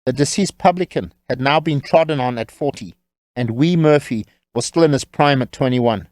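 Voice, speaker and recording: A man narrating a scripted audiobook story in a measured, wry storytelling manner. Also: mid-range voice, a little mellow, dry recording.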